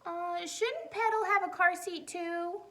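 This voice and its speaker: A woman's voice: very high voice, unhurried, a bit bright, voice pushed up. A woman voicing an anxious little girl mouse in a storybook, with fretful, questioning delivery. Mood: worried.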